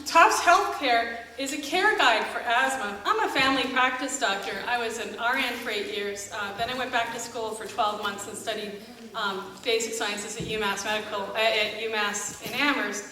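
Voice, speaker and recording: A woman's voice: on the high side, normal speed, bright, forceful tone. A middle-aged American woman, a family doctor, giving a sarcastic, exasperated live speech to an audience. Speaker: family doctor speaking as an activist. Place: live concert event in a church. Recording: echoey hall from far off, noisy.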